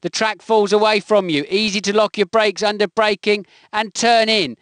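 A man's voice: high-pitched, steady tone, normal speed, fairly bright tone, clear, resonant tone, no room sound, studio quality. A man delivering scripted motor racing commentary for a video game in clipped, emphatic phrases. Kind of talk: scripted race commentary voice clips.

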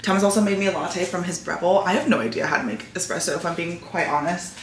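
A woman's voice: lowish voice, fast. Chatty woman vlogging in an echoey apartment. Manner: chatty, many fillers, enthusiastic about stationery. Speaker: lifestyle vlogger. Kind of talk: casual vlog chat to camera. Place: apartment living room, indoors. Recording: echoey room with faint noise.

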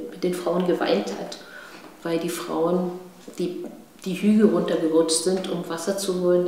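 A woman in her fifties with a Berlin accent, giving personal testimony in a halting way, searching for words and often adding tag questions. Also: lowish voice, vocal fry, dark tone. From East Berlin, Germany, born in Chile. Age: 50s. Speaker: interviewee, political activist. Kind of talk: personal testimony, recounting family history to camera. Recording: very echoey room, faint background noise.

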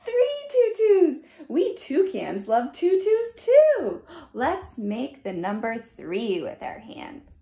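A young woman reads aloud for small children in a sing-song manner. Her pitch swings widely and she takes her time. The room adds noticeable echo around her voice. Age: young adult. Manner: animated, slow, sing-song, addressing children. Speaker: children's dance teacher reading picture books. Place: small furnished room indoors. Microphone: camera a short distance away.

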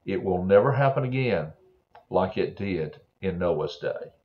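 Older male preacher, low voice with lively swings, slow earnest storytelling for kids, dull webcam sound from a home office. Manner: slow, earnest, many "uh" fillers, throat clearing. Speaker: preacher telling a Bible story to children. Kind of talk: gentle storytelling sermon for children. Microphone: webcam on a desk.

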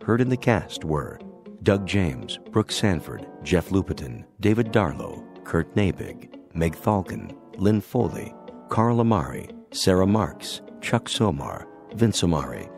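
A man's voice: deep voice, big pitch swings, measured pace, darkish tone, soft background music. A man reading scripted credits as an announcer.

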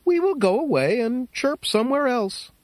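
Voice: medium pitch, very animated, normal speed, dry recording, pitched above the performer's own voice, close to an everyday voice. A storyteller voicing a cheerful, obliging cricket, with music behind.

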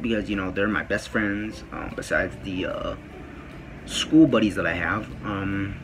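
A teenage boy with a deep voice, rambling casually to his phone camera in a small bedroom, with lots of fillers. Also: a bit bright, slight background noise. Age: teens. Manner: rambling, many fillers and "freaking". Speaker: vlogger. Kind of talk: casual vlog talk to camera. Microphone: phone camera at close range.

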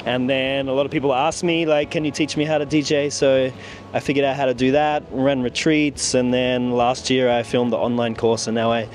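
A man, answering interview questions outdoors into a handheld mic in a relaxed way, thinking aloud. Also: mid-range voice, moderate pace, slight background noise. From Australia. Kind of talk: casual street interview. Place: outdoors on a beach. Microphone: handheld mic held by interviewer.

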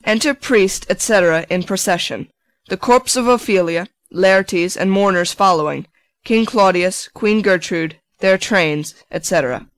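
A woman's voice: medium pitch, measured pace, no room sound. Woman narrator, very dry sound. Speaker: narrator of stage directions. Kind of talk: scripted narration.

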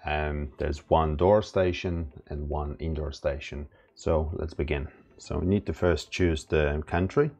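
A man narrating a casual step-by-step product setup tutorial in a plain, halting instructional way. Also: very deep voice, steady tone, darkish tone. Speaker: product demonstrator. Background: background music.

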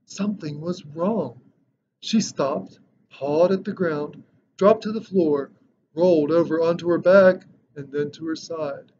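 Higher-voiced man reading a children's storybook. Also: measured pace. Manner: expressive, dramatic storytelling. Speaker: storybook reader. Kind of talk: children's picture book read-aloud. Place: indoor decorated room. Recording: dull lo-fi sound with background noise.

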